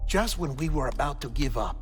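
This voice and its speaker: A man's voice: mid-range voice, animated, fairly quick, natural voice. An actor playing a talkative, fussy male doctor in a video game cutscene, explaining things at length. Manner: chatty, explanatory. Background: music.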